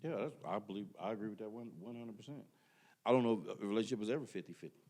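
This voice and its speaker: A middle-aged man with a fairly low voice makes his point emphatically. He repeats words when others cut in and holds the floor in casual podcast talk. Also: rapid-fire, a little mellow. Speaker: podcast guest. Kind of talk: casual debate.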